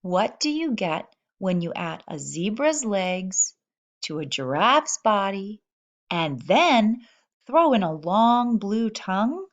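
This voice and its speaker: Playful young okapi for a picture book , female reader: teasing, proud, theatrical, unhurried, slightly muffled sound. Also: medium pitch, big pitch swings.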